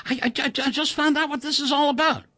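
A man voicing a nervous, excitable male computer engineer who stammers and talks urgently. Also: fast talker. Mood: excited. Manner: high-strung, stammering, urgent.

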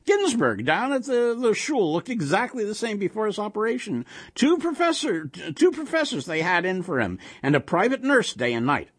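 A complacent old husband, voiced by a man reading aloud, contrary and grumbling. His Yiddish-style phrases rise and fall widely, a little high and husky. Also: very animated, moderate pace, few pauses, no room sound, clean studio sound, exaggerated voice. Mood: complacent chatter. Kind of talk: short story read aloud.

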